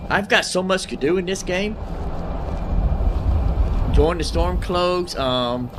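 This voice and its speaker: A man's voice: sits high, lively intonation, slow pace, music in the background. A man giving casual gameplay commentary, muttering asides and questions to himself. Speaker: gaming video host.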